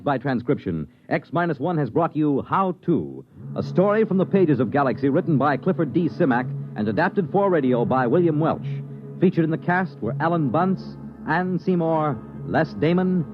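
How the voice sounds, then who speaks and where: Old-timey radio announcer guy, lively and formal, bit of music under, dull recording. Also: fairly high pitch. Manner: formal, polished announcer delivery. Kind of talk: 1950s radio announcing, scripted.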